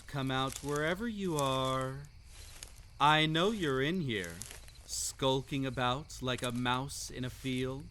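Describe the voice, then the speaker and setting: Man playing a slow, teasing haunted-house host. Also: mid-range voice, fairly bright tone, a little hiss or hum. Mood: menacing, coaxing. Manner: teasing, theatrical, drawn-out phrasing. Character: whimsical, mysterious, sinister male host of a haunted estate. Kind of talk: scripted roleplay audio drama.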